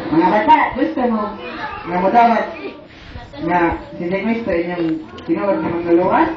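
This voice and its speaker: A woman's voice: medium pitch, moderate pace, close to an everyday voice. Distant, eager Visayan puppet played by a woman. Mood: coaxing. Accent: Visayan Filipino. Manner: coaxing, often adds questioning tags. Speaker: puppet show co-leader. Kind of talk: children's religious puppet show. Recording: distant, very echoey, muffled, noisy crowd.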